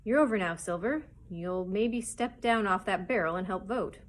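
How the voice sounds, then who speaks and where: A woman voicing a scornful, mutinous male pirate in a storybook, sneering in rough sailor talk. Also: mid-range voice, moderate pace, close to an everyday voice.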